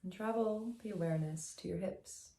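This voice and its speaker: A woman guiding a meditation as a teacher, speaking in a gentle, soothing, unhurried way with long pauses, in a slightly echoey room. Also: medium pitch. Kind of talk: guided meditation, soft instructional narration.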